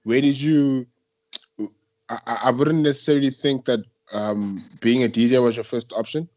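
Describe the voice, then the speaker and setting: A young man with a South African accent hosts a chatty radio interview. His pitch sits a little low and his talk is informal and slangy. The sound is muffled, as from an internet call. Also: fairly even intonation, normal speed, darkish tone, dry recording. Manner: informal, slangy, effusive reactions. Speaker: radio show host. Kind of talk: casual chat interview.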